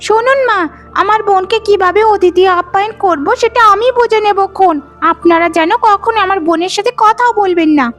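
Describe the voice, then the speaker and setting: Woman acting a very shrill-pitched, quarrelsome cartoon wife. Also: brisk, fairly bright tone. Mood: indignant. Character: quarrelsome, greedy young daughter-in-law, whining and resentful. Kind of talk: cartoon moral-story dialogue. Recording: music under the voice.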